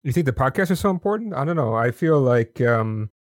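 A man's voice: mid-range voice, animated, a little fast, no room sound, studio quality. A man hosting a casual podcast interview over a video call, chatty and apologetic, often tagging his statements with "right?". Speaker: podcast host.

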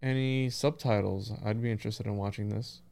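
A man's voice: on the low side, steady tone, brisk, creaky, background noise. A man hosting a casual conversational interview, talking informally and rambling as he thinks aloud. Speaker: podcast host.

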